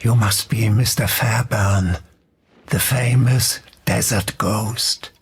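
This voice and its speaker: An actor playing a fanatical, menacing Nazi commander in a video game, with a German accent and a declamatory, threatening delivery. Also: male voice, lowish voice, fairly even intonation, takes it slowly, exaggerated voice. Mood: cold threat. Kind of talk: video game villain acting, oratory. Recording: clear background ambient noise.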